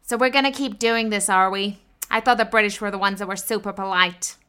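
A woman's voice: medium pitch, steady tone, a little fast, bright, forceful tone, clean tone, natural voice. A woman playing a snippy, falsely polite receptionist in a comic radio play, curt and dryly courteous. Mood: coolly dismissive.

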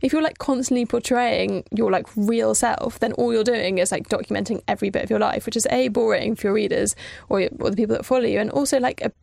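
A woman's voice: a little high, steady tone, fast talker, creaky, few pauses, darkish tone, no echo, clean studio sound. A woman chatting casually as a podcast guest, peppering her talk with "like". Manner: chatty, heavy use of "like". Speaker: online food magazine writer, podcast guest. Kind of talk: casual chat.

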